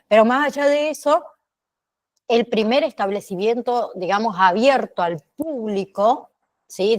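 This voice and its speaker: A woman lecturing as a course teacher, often tagging her phrases with short questioning check-ins. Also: fairly high pitch. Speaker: teacher of an online pharmacy assistant course. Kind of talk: online lecture, explaining history to students.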